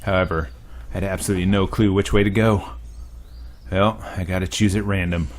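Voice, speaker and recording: A man reading a visual novel aloud as a let's play host, casual and profane, now and then bursting into shouts. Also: low-pitched, steady tone, a little fast, a little hiss or hum.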